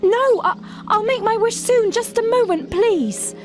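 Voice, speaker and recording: A woman voicing an excited, anxious young girl in a children's storybook. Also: extremely high pitch, measured pace, soft background music, voice pushed up. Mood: flustered, pleading.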